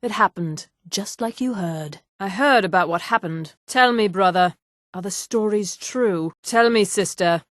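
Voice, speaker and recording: An actress voicing a haughty, poised high elf woman, a darkly devout assassin in a fantasy video game, with a formal, measured and dryly superior manner. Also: no room sound, clean studio sound, close to an everyday voice. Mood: wry, admiring. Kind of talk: scripted video game character dialogue.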